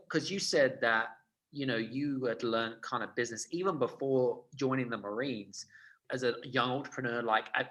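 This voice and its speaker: Male podcast host, bright tense tone, upbeat, mid-pitched. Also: poor audio. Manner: upbeat, quick affirmations. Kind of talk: casual interview.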